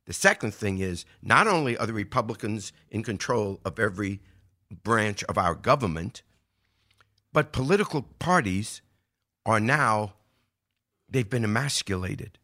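An older American man delivers conversational political commentary in a bright, forceful voice. He explains in an emphatic way, posing rhetorical questions and building his argument point by point. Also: lowish voice, expressive, a little slow. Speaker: podcast host giving political commentary.

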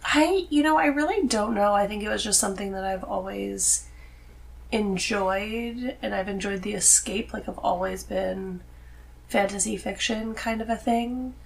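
Creaky-voiced woman in casual chat. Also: mid-range voice, unhurried. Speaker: podcast guest, host's wife. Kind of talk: casual conversation about reading.

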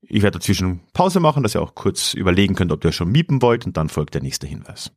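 Low-voiced, animated male quiz host. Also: quick pace, no echo, clean studio sound. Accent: South Slavic-influenced pronunciation of foreign names. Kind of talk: casual podcast quiz moderation.

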